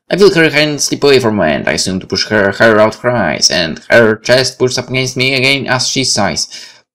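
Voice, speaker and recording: A man reading game text aloud and reacting in an expressive, blunt way. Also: fairly low pitch. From Poland. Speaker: let's play host. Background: game music.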